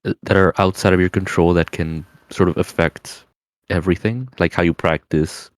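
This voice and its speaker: Deep-voiced, soft-spoken man. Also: fairly even intonation, normal speed, no echo. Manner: soft-spoken, mumbles at times. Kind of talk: casual chat.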